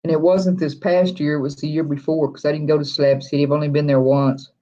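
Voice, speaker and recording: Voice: medium pitch, little pitch movement, a little fast, dark tone. Chatty host on dull, lo-fi audio. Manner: rambling, friendly, chuckles often, many restarts. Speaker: RV-living livestream host. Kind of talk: casual live chat, reading viewer comments aloud.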